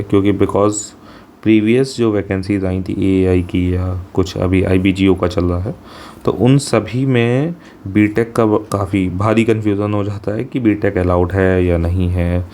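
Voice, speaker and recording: A man's voice: low voice, creaky, dark tone, a little hiss or hum. An Indian man teaching in an informal explainer style, mixing Hindi and English and often adding short check-in tags. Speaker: exam coaching teacher. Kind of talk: informal explainer of a job notification.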